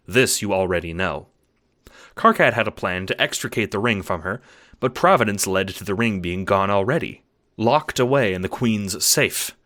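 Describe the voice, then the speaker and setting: A man's voice: lowish voice, bright, forceful tone. A man playing a precise, erudite alien troll who narrates an audio drama in a formal, pedantic manner. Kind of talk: scripted audiobook narration in character.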